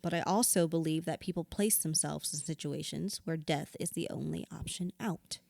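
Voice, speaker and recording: A woman's voice: sits low, normal speed, creaky, darkish tone, no room sound, clean studio sound. A woman acting in an audio drama as a grieving widow in therapy, wounded and candid, reflective with a wry edge. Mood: somber.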